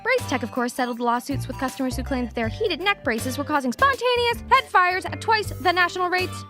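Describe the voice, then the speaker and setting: Woman playing a fast, animated high-voiced pundit. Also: soft background music. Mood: mock-serious. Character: opinionated, mock-serious female talk show pundit. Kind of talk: scripted comedy talk show parody.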